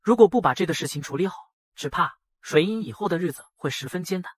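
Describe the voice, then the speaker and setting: A man with a husky voice narrating a dramatized first-person story. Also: high-pitched, lively intonation, quick pace, no echo, clean studio sound. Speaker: narrator and voice of the male lead.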